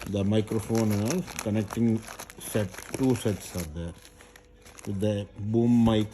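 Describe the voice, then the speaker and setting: Man, lowish voice, casual presenter feeling for words as he shows a product, noisy recording with packaging rustle. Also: dark tone. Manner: halting, searching for words, thinking aloud. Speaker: unboxing presenter. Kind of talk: casual unscripted product unboxing. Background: handling and crinkling of packaging.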